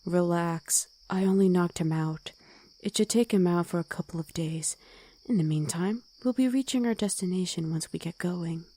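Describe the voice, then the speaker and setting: Wolf girl played by a woman: guarded, commanding, dark mellow tone, husky edge, ASMR roleplay. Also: on the low side, normal speed, natural voice. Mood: gruffly caring. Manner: blunt, commanding, gruffly teasing. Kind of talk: ASMR roleplay audio drama.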